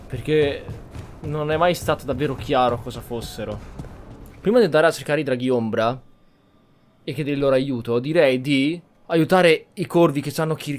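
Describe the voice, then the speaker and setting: A man's voice: mid-range voice, slight background noise. A man with an Italian accent giving casual game commentary, reflecting and thinking aloud as he plays. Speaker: gaming channel host, player.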